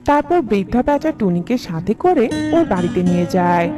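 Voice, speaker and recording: Female children's story narrator: animated melody, mid-pitched, music underneath. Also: moderate pace. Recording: music behind the voice.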